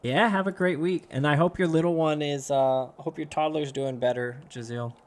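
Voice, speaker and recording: A young man, hoarse and losing his voice, chatting casually with viewers while streaming a game. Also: on the high side, fairly even intonation, normal speed. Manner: chatty, talks to viewers by name. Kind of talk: casual live-stream chat.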